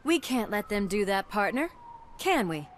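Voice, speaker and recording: An actress playing a tough, loyal police officer in a video game, brisk and no-nonsense. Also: female voice, mid-range voice, sing-song, slight background noise, close to an everyday voice. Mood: determined. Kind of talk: video game cutscene acting.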